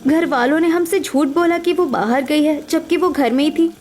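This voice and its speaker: Woman playing an outspoken young bride, extremely high voice. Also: normal speed, darkish tone, natural voice. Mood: suspicious, determined. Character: outspoken, concerned young newlywed Indian daughter-in-law. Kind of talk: cartoon family drama voice acting.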